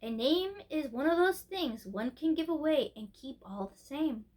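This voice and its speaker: A woman voicing a very old, gentle and mysterious great-great-grandmother queen in a storybook, speaking patiently. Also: high-pitched, lively intonation, moderate pace. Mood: gentle, knowing.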